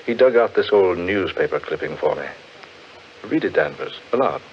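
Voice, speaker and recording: An actor playing an earnest, brooding gentleman in a theatrical 1940s radio horror drama, insistent and given to hesitant pauses. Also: deep voice, animated. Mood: earnest, ominous. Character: earnest, brooding gentleman fascinated by eerie legends. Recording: muffled, noisy 1940s radio recording.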